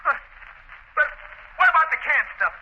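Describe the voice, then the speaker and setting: A man's voice: very high-pitched, big pitch swings, normal speed. An actor playing a panicky, desperate criminal henchman in a 1940s radio drama, blurting his lines in tough-guy slang. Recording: noisy, dull old radio recording.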